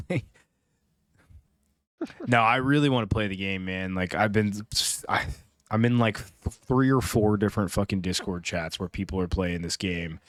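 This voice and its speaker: A man with a naturally deep voice chatting casually and rambling on a gaming livestream, with slang and swearing, into a close mic. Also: a little slow, pauses often, no echo. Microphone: close streaming mic, amplified.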